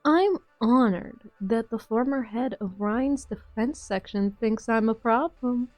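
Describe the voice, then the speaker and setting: A woman's voice: a little high, measured pace, a little mellow, no room sound, faint music behind, lightly acted, low-quality recording. A woman playing a cool, taunting, cryptic mercenary in a fan-dubbed game drama, composed and provocative. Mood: taunting, probing.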